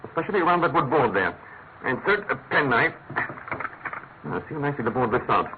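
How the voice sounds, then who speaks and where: An actor playing a shrewd, imperious master detective in a classic radio drama, incisive and urgent. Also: male voice, medium pitch, big pitch swings, normal speed, close to an everyday voice. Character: brisk, commanding, shrewd male master detective. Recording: tinny, narrow-band old radio, faint noise.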